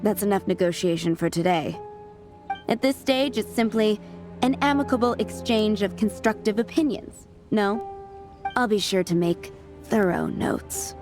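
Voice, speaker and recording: A woman playing a scheming, condescending diplomat in a fantasy video game, haughty and smooth-tongued. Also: medium pitch, measured pace, music in the background, lightly acted. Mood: smug, dismissive. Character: smug, haughty, scheming female diplomat villain.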